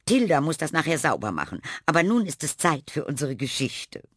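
A man's voice: fairly high pitch, sing-song, a little fast, fairly bright tone, voice pushed up. A male narrator voicing a strict schoolteacher woman in a children's story. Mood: firm. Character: strict calm female schoolteacher.

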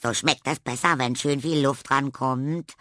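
A man's voice: mid-range voice, steady tone, measured pace, sharp tone, no echo, studio quality, pitched above the performer's own voice. Man putting on a cheerful little schoolgirl. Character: lively playful schoolgirl.

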